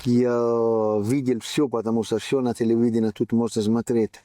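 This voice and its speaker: A man from Italy with an Italian accent, telling his story in an interview in halting, non-native speech. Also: mid-range voice, little pitch movement, dark tone, slight background noise. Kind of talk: personal account in interview.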